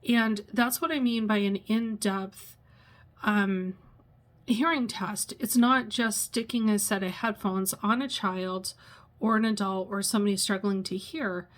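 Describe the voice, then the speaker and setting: A woman in her sixties sharing a personal vlog, pausing often and searching for words as she talks. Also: mid-range voice, steady tone. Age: 60s. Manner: halting, searches for words, many pauses and fillers. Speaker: vlogger.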